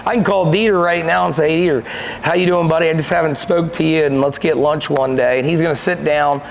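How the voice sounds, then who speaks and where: A man's voice: on the high side, brisk, gravelly, poor audio. A man in his thirties in casual chat, telling stories with lots of gestures in a lodge room with a stone fireplace. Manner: animated, gestures a lot, rambling storytelling. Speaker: podcast co-host and shooting club manager.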